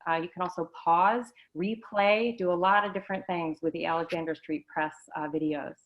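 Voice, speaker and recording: Woman, low voice, hesitant presenter, dull lo-fi call audio. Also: steady tone. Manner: slow, halting, many fillers. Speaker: learning center supervisor. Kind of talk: online presentation.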